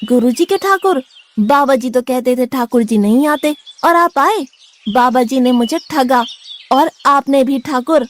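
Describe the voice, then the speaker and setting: Brief: high, blunt, stubborn young male disciple who complains about food, voiced by a woman. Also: measured pace. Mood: indignant. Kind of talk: Hindi cartoon story dubbing.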